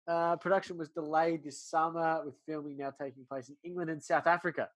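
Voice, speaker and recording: A man's voice: on the high side, little pitch movement, brisk. A man chatting casually as a podcast co-host, informal and blokey, with conversational asides. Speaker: podcast co-host discussing films. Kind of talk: casual chat.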